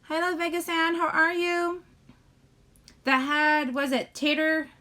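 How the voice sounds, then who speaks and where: A woman hosting a casual live chat, rambling to viewers in a halting way, often breaking off mid-sentence. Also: sits high, fairly even intonation, bright, forceful tone. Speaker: live stream host chatting with viewers.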